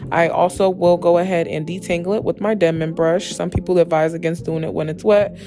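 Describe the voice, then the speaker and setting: Slightly raspy, creaky young woman. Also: on the low side, steady tone, a little fast, warm tone. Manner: chatty, emphatic, addresses viewers familiarly. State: slightly hoarse voice. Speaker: beauty tutorial host. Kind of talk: casual voice-over tutorial. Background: background music with guitar.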